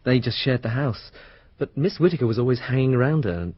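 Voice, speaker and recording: An actor playing a wary, gossipy teenage student in a radio mystery, talking in an informal, offhand way. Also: male voice, mid-range voice, lively intonation, brisk, breathy edge, no room sound. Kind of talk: radio mystery drama acting. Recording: dull, lo-fi radio drama recording.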